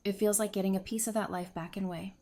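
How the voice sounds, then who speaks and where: A woman's voice: mid-range voice, steady tone, quick pace, dark tone, natural voice. A woman playing a frank, teasing, friendly nerd in an audio drama, with wry conversational delivery. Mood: candid. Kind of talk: fan audio drama acting.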